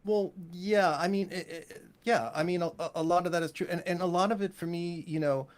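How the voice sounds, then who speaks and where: A man's voice: high-pitched, a little fast. Enthusiastic, rambling man chatting about music. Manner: enthusiastic, rambling, punctuated with "you know" and "right?". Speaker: composer, interview guest. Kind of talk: casual interview conversation about music.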